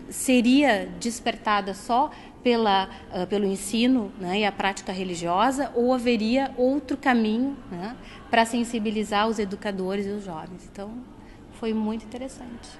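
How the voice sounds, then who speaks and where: A woman teacher answering interview questions in a thoughtful way, pausing with frequent fillers. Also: on the high side, slight background noise. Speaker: teacher, group facilitator.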